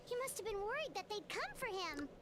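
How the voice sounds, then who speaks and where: A very high, girlish game heroine, an earnest young investigator who reasons things out articulately. She sounds bright and reassuring, with clear noise behind her. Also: sing-song, fairly quick. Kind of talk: scripted video game dialogue.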